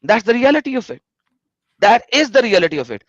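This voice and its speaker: Combative high-voiced male debater. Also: big pitch swings, fairly quick, no room sound, muffled recording. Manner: combative, indignant. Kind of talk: live online debate Q&A.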